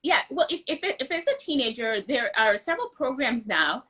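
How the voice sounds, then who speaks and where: A woman's voice: high-pitched, expressive, brisk, sharp tone, breathy edge. A woman speaking as an interview guest, giving earnest, explanatory answers in a conversational style. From born outside the US. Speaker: interview guest, political candidate.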